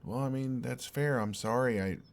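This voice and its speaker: A young man playing an exasperated, earnest and oblivious best friend in an intimate audio roleplay, speaking in a halting, conversational way. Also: medium pitch, vocal fry, lightly acted. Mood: frustrated, confused.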